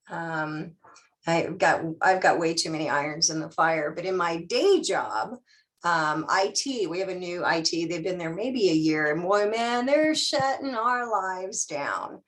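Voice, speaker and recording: A woman's voice: lowish voice, lively intonation, slow. Middle-aged woman guest speaker, chatty and self-deprecating, heard over a video call. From California. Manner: chatty, self-deprecating, many fillers and restarts. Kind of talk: casual chat then presentation on Zoom.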